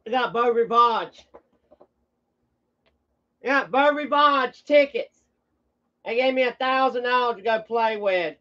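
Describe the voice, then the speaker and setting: A blunt, combative streamer rambles casually to viewers. The pitch is mid-range and the language is coarse. The audio is dull and lo-fi. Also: takes it slowly, long pauses, pushed and bright. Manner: blunt, combative, foul-mouthed.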